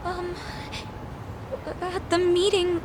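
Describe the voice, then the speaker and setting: Game dub: anxious young daughter, high register, timid, halting, pleading. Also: female voice, completely flat delivery, noisy background, heavily acted. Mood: hesitant, pleading. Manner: hesitant, pleading. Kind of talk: English video game dub acting.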